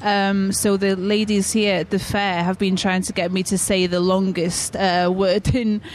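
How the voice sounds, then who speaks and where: A woman's voice: mid-range voice, level tone, unhurried, a little hiss or hum. A woman from North Wales whose first language is Welsh, a university representative chatting easily as a radio guest at a convention fair, with fillers and light laughs. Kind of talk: casual radio interview.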